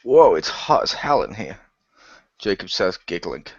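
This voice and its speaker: Man, role-player, improvised narration, in-character jokes, animated pitch, dry slightly muffled recording. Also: unhurried, gravelly, husky, no room sound. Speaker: player in a role-playing game.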